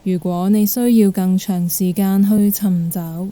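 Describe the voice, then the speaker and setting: Woman, Hong Kong Cantonese accent, very soft dark tone, slow pace, calm guided meditation narration. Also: mid-range voice. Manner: gentle, calm, unhurried instruction.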